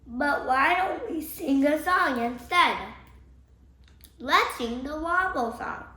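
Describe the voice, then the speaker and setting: Woman as a hesitant, slow storybook bear. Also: high voice, pushed and bright, pitched above the performer's own voice, heavily acted. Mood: eager suggesting. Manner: hesitant, stammering. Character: sheepish, evasive male bear, storybook friend. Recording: echoey room, faint background noise.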